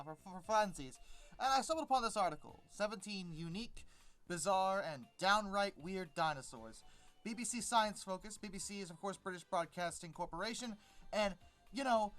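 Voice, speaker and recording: A woman's voice: medium pitch, fairly bright tone. A woman hosting a casual unscripted commentary, with a sarcastic, emphatic, rambling manner that repeats words for emphasis. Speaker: video host and commentator.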